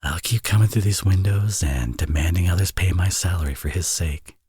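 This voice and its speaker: A man playing a teasing villain who is a tender father, in an intimate ASMR audio roleplay, with a husky, breathy, soft-spoken delivery. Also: low voice, normal speed, no room sound. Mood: serious, protective.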